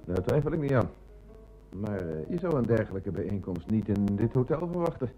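A man playing a calm, polite, observant police detective in a Dutch radio play. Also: on the low side, a little fast, soft background music, poor audio. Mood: politely probing.

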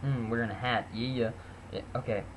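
A man's voice: medium pitch, steady tone, moderate pace, a little hiss or hum. A teenage boy rambling in a self-mocking way on a webcam in a small bedroom. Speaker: vlogger. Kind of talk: casual webcam vlog.

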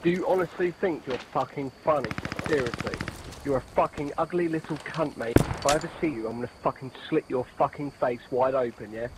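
A man's voice: mid-range voice, a little fast, noisy background. A man ranting abusively and swearing heavily over game voice chat. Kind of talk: angry trash talk over game voice chat. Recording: game voice chat, loud gunfire and clicks. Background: gunfire and game sounds.

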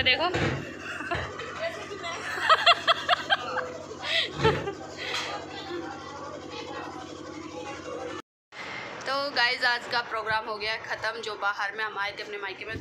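A young woman from northern India chatting casually to viewers as a family vlogger, filming on a phone held at arm's length. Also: a little high. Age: young adult. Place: family homes and village lanes at a gathering.

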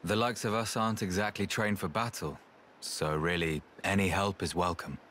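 A man's voice: sits low, fairly even intonation, moderate pace, a bit bright, slight background noise. An actor playing a stoic, kindly armoured machine man in a video game. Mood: steady, grateful.

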